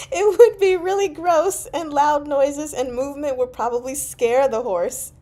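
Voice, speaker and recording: A woman hosting a casual book discussion, chatty and expressive, often pausing mid-thought. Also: sits high. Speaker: book discussion vlog host. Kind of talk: casual live book chat.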